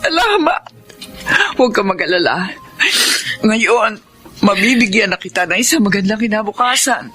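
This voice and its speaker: A woman's voice: medium pitch, animated, normal speed, long pauses, a bit bright, natural voice. An actress playing a loving, forgiving mother with a tender, emotional manner in a Filipino radio drama. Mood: tender, reassuring. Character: loving, forgiving mother reunited with her daughter. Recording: music behind the voice.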